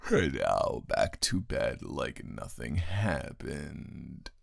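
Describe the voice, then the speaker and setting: A man playing a tired, grumpy but secretly soft roommate in an improvised intimate audio roleplay, talking straight to the listener in a halting, teasing drawl. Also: extremely low pitch, sing-song, gravelly, no room sound, studio quality, full character voice. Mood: grumpy, giving in.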